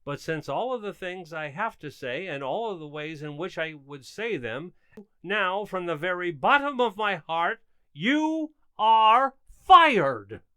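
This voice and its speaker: A man playing an exasperated, flustered but mannerly husband and father in a radio comedy, speaking formally and haltingly. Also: high-pitched, expressive, sharp tone, smooth, no echo, exaggerated voice. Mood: strained politeness. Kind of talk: old-time radio comedy acting.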